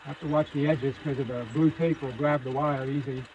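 A man casually narrating a hands-on repair demonstration at his workbench, with an unhurried, folksy manner. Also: mid-range voice, steady tone, a little mellow, muffled recording. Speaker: hobbyist host demonstrating radio repair. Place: indoor electronics workbench. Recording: clear background machine whir and noise.

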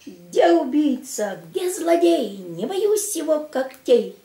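A woman's voice: high voice, big pitch swings, slow pace, dark tone, pitched above the performer's own voice, full character voice. A woman voicing a brave, boastful young male mosquito in a children's tale, declaiming boldly. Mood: bold, proud. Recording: echoey home room recording.

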